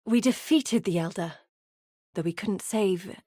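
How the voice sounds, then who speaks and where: Video game role: actress as earnest woman expedition leader, weary and grave, articulate delivery, mid-range pitch, close dry recording. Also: dark tone, no room sound, lightly acted. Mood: solemn. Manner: measured, grave, articulate storytelling. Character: weary, earnest woman explorer leading exiled warriors. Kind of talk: scripted video game voice acting.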